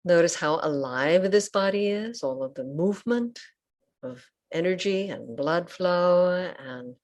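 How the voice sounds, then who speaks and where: A woman leading a guided meditation as a teacher, speaking gently in short, unhurried phrases with pauses. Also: fairly low pitch. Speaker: Buddhist nun and meditation teacher. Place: online group session over video call.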